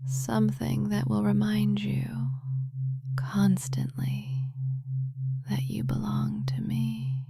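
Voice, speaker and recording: A woman delivering a scripted hypnosis monologue in a breathy whisper, intimate and commanding, with deliberate pauses. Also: medium pitch. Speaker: hypnosis audio performer. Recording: clear background ambient hum and tones.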